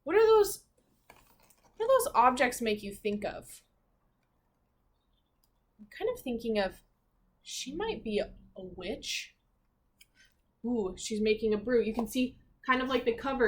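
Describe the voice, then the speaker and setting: Slow, expressive woman reading to children. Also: fairly high pitch. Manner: expressive, pausing to comment and question the listener. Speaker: teacher reading aloud. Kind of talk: children's storybook read-aloud.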